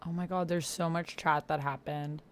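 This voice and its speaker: A woman's voice: low-pitched, fairly even intonation, moderate pace, slight background noise. A woman livestreamer talking casually and thinking aloud as she plays a text-based game and talks to her chat. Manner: reads and reacts aloud while talking to chat.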